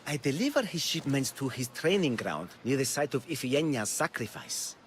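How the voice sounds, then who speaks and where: A man's voice: mid-range voice, big pitch swings, normal speed, slight background noise, lightly acted. An actor playing an anxious, sentimental male oil merchant in a video game, with a pleading, effusive delivery. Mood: hesitant, explaining. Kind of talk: dramatic video game dialogue.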